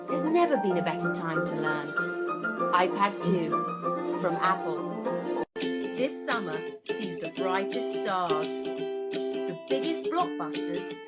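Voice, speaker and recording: Woman, mid-pitched, commercial voice-over, scripted read, loud guitar music underneath.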